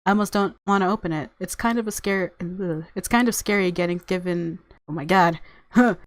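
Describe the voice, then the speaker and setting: A woman's voice: fairly low pitch, brisk, dry recording. Woman reading a visual novel aloud. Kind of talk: story narration with casual commentary.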